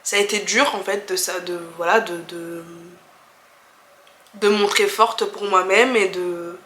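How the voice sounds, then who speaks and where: Young French woman vlogger, twenties, bright tone, casual and candid Q&A delivery, roomy echo. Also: medium pitch, steady tone, a little slow, slight background noise. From France. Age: 20s. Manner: candid, chatty, many fillers and restarts, laughs easily. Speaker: travel vlogger. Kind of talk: casual chat answering viewer questions.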